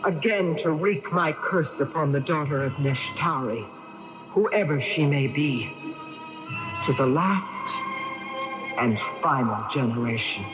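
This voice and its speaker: A man's voice: a little high, lively intonation, slow. An actor playing a vengeful man swearing an ancient curse in a radio drama, solemn and incantatory. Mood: solemn, menacing. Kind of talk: 1980s radio drama acting. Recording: dull AM radio tape, music behind.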